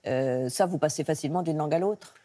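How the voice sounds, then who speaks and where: A woman's voice: sits low, fast, dark tone. A woman hosting a TV arts interview in a studio, eagerly quoting reviews and prompting her guest. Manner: enthusiastic, quotes and prompts the guest. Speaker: TV arts show host. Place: TV studio set.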